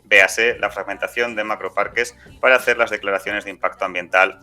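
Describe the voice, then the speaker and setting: A man's voice: lowish voice, steady tone, brisk, sharp tone, faint music behind. A man hosting a political podcast, speaking in a sardonic, rhetorical way. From Aragon, Spain. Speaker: podcast host. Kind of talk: political podcast editorial and co-host banter.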